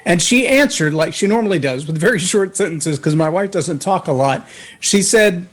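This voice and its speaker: A man guesting on a talk show, telling a personal story in an earnest, anecdotal way, with a voice that can boom when he raises it. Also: expressive, normal speed. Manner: earnest, anecdotal, acts out others' words. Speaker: guest speaker.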